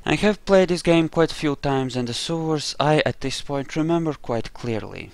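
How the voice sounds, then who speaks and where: A man's voice: on the high side, moderate pace, dry recording. A man casually narrating his own video game playthrough, thinking aloud in an offhand, sweary way.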